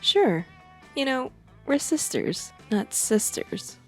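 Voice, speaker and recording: A woman's voice: high-pitched, very animated, slow pace, fairly bright tone, soft background music, full character voice. A woman voicing a cool, shrewd, menacing teenage monster girl with curt, composed delivery in an amateur game dub. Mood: aloof. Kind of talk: amateur visual novel dub.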